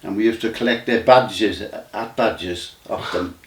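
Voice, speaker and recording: A man, recalling his memories in a casual, halting way with many restarts. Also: lowish voice, vocal fry. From Wales. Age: 90s. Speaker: interview guest. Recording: roomy sound from a distant mic.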